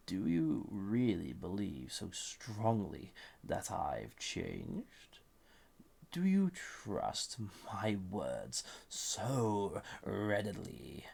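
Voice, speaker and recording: A banished villain of an audio drama, speaking very slowly in a low, heavily gravelled voice. He is suave and flirtatious with a dangerous edge, every formal phrase deliberate and softly condescending. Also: full character voice. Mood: amused, condescending. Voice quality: deep, gravelly, husky, breathy. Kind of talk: scripted roleplay audio drama.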